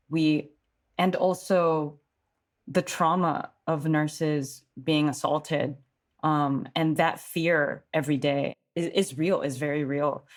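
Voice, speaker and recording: Low-voiced earnest actress guest. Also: female voice. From California. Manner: earnest, thoughtful, many restarts. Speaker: actor guest. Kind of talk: casual interview chat.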